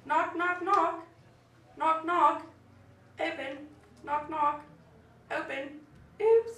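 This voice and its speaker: A woman playing a curious, fussy young girl in a rhyming puppet show, reciting in a deliberate singsong. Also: very high voice, fairly bright tone, exaggerated voice. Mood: playful, puzzled. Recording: roomy, distant mic, faint noise.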